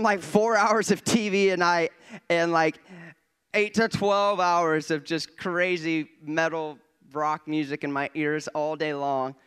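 Young man, guest preacher, church stage, handheld mic, fairly high voice, smooth clear tone, excitable, quiet to loud. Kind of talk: testimony sermon. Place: church stage before a congregation. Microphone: handheld microphone.

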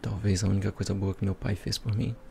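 A young man with a Brazilian accent playing a smug, playful devil in a soft-spoken, teasing ASMR roleplay. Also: lowish voice, little pitch movement, fast, vocal fry. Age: young adult. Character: smug, playful devil helping police as a consultant. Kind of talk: ASMR roleplay, soft talk mixed with whispering.

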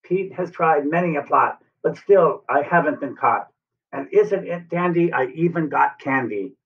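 A crafty little storybook leprechaun, acted out by a man, high and cheerful, teasing in singsong rhymes. The recording is slightly distant and muffled. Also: animated, measured pace. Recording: distant, muffled webcam sound.

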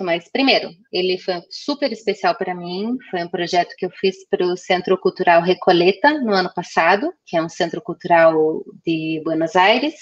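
Guest designer, young woman, headset call, slightly muffled audio, chatty with lots of fillers. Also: lowish voice. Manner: chatty, many fillers and restarts. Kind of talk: casual video-call interview.

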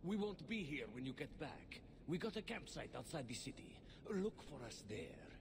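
An actor playing a terse traveller in a fantasy video game. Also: male voice, very animated, measured pace. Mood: brisk. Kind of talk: video game dialogue acting. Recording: echoing game audio, rumbling noise.

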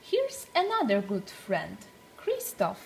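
A woman reading a storybook aloud for young children, with deliberate, expressive phrasing. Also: medium pitch, gravelly, soft background music.

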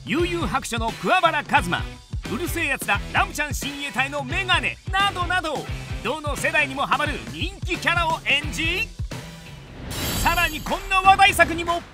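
High-voiced female show narrator. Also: animated, moderate pace, over music. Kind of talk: scripted promotional narration.

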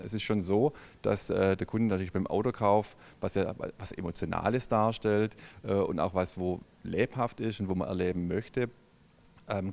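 A middle-aged man with a low, gravelly voice, speaking as a company executive in an interview. He talks haltingly, full of fillers and restarts, into a handheld mic in a large indoor showroom. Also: a little mellow. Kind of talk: on-camera interview. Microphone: handheld microphone.

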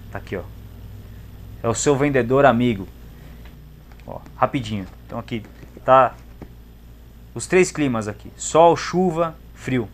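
A young man with a Brazilian accent giving a casual, slangy product review in short clipped phrases. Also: medium pitch. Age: young adult. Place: indoors in a motorcycle gear shop. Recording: clear background noise, shop ambience.

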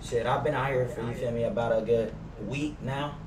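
A man's voice: mid-range voice, fairly even intonation, brisk. A young American man chatting casually in slang while streaming a game. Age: young adult. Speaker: game streamer roleplaying in a crime game. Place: room at home, indoors. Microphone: gaming headset. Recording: noisy, echoey, slightly distant game stream. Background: game car and ambience noise.

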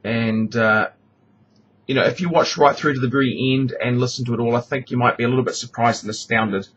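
A man's voice: mid-range voice, steady tone, brisk, fairly bright tone, highs cut off. A man with a mid-range voice narrates a hands-on tutorial in a casual way. He explains each step carefully. The homemade recording carries a faint layer of background noise. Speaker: knife maker presenting a tutorial. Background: noticeable background noise.

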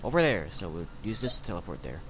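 A man's voice: low-pitched, very animated, moderate pace. A man giving casual gameplay commentary as he plays. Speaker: gamer. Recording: noisy home gameplay mic.

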